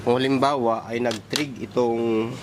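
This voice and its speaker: A man with a Filipino accent giving an informal hands-on technical demonstration, halting and thinking aloud as he points things out. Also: medium pitch, level tone, heavy background noise. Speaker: electronics hobbyist presenter.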